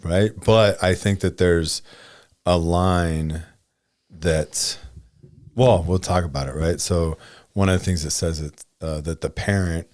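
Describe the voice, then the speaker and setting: A man's voice: low voice, steady tone, slow pace, darkish tone, no room sound, studio quality. A man in his forties co-hosting a casual podcast, thinking aloud and often checking in with "right?" and "you know". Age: 40s. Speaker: podcast co-host.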